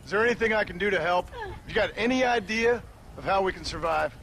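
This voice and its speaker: A man's voice: high voice, fast, a bit bright, a little hiss or hum, low-quality recording. A man voicing a frontier gunslinger who speaks with a dry, wry drawl. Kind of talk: video game cutscene acting.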